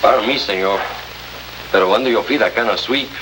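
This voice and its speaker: A man's voice: on the low side, fast, natural voice. An actor playing a cheerful, flowery Latin American ladies' man serving as a jail trusty, with a Spanish accent, in a 1950s radio drama. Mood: genial, romantic. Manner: polite, florid, naive. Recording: hissy, noisy old radio recording.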